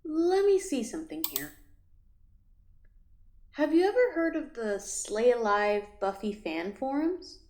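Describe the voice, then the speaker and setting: A woman acts out a helpful young female friend in an audio drama. She has a high voice and a casual, teasing way of nudging people along. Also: big pitch swings, measured pace, long pauses, a little mellow.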